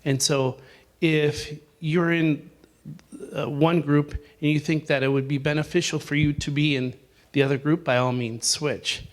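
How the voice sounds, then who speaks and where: A man's voice: fairly high pitch, little pitch movement, unhurried. A man leading a campground owners' discussion in a meeting room, casual and rambling, with many fillers and restarts. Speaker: discussion group leader.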